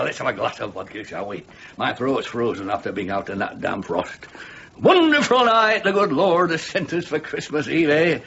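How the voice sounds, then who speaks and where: A gravelly, jovial flirt. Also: male voice, mid-range voice, bright, forceful tone, exaggerated voice. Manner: bluff, teasing, chuckling. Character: jovial, flirtatious hearty village man. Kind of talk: 1990s radio drama acting. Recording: dull radio recording with faint hiss.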